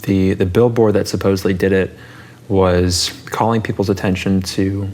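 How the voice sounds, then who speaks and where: A man's voice: sits low, flat delivery, normal speed, darkish tone. A man in his thirties hosting a casual interview, thinking aloud and asking curious questions. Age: 30s. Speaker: podcast host.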